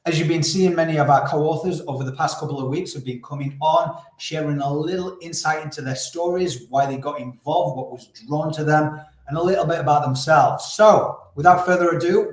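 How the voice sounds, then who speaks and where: Yorkshire guy hosting a live stream, upbeat, bit high-pitched, echoey room over a call. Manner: upbeat, energetic presenter. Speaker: host. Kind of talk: live online interview.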